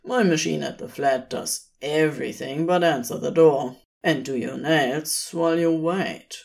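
A woman voicing a laid-back, sly yet bashful man with a raspy, sleep-rough voice and casual, slangy phrasing. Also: sits low, a little slow, a little mellow. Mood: cocky, reassuring.

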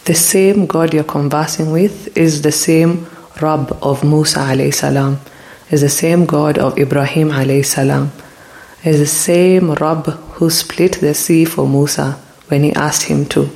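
A podcast host giving a calm, reflective spiritual talk into a close mic. They ask the listener many probing questions and grow looser and more hesitant when retelling stories. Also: mid-range voice, a little slow, vocal fry.

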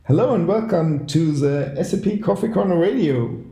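A man's voice: fairly high pitch, normal speed, gravelly. A man hosting a casual group podcast recorded in a shared apartment, chatty and leading the round. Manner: relaxed, chatty, leads the round. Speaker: podcast host. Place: shared apartment room at night. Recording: echoey room.

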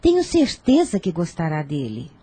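A woman playing a firm, persuasive older aunt in a radio soap opera, genteel, formal and measured. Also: on the low side, huge pitch swings, warm tone, slightly rough, no room sound, heavily acted. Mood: decisive, coaxing.

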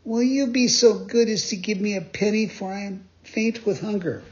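A man voicing an impulsive wooden puppet boy who pleads and stammers in a high, whining voice. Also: normal speed, natural voice. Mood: pleading. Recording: dull lo-fi recording.

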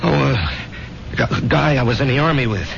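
Haunted war vet in an old radio play, gravelly, hesitant, muffled with music. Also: male voice, medium pitch, animated, moderate pace, fairly bright tone, slight background noise. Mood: shaken. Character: weary, haunted Vietnam veteran, an ordinary married man. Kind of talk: 1970s radio drama acting. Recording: dull 1970s radio recording.

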